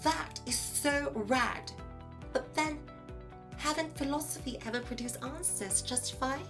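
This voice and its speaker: Woman as playful curious pal in audio drama, breezy n teasing, big wonder, highish voice, soft music behind. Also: a little slow. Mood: amazed. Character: Justine, curious, playful, easily awed questioning young friend. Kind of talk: podcast audio drama dialogue.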